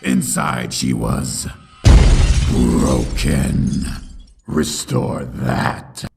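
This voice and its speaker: A man playing a bitter, menacing pony tyrant in a dramatic comic dub, with a gravelly growl and brooding, theatrical delivery. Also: a bass voice, fairly even intonation, drawn-out words, echoey room, loud music behind the voice, full character voice. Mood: menacing triumph.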